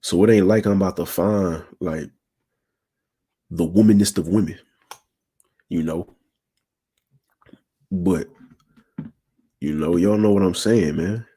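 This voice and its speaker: A young man in his twenties with a low, raspy voice, a little strained from a sore throat. He talks to his livestream viewers in loose, slangy asides and keeps checking they follow. His tone is dark and mellow. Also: takes it slowly, long pauses. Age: 20s. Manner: slangy, keeps asking "you feel me". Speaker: channel host. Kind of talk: casual livestream talk to viewers.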